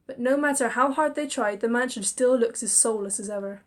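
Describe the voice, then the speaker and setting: A woman's voice: fairly high pitch, fairly quick. Woman narrating a spooky story. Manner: expressive, chatty asides. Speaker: reader and narrator. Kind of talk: narrating a visual novel with inner monologue.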